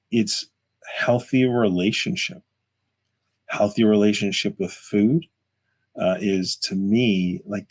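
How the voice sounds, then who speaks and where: A man's voice: on the low side, a little mellow, slightly rough. A man co-hosting a podcast, talking in an earnest, reflective way and often repeating words. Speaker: podcast co-host. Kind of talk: casual podcast chat.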